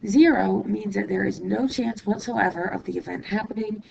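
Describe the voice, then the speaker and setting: Hoarse, gravelly woman math teacher. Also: medium pitch, lo-fi sound, background noise. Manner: patient step-by-step explaining, asks "okay?"; writes while talking, pausing mid-sentence. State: hoarse, losing her voice from illness. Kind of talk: recorded classroom lesson.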